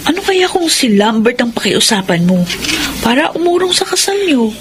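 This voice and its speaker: A worried, practical young Filipina friend in a radio drama. Her voice is high, with faint noise around it. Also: big pitch swings, moderate pace.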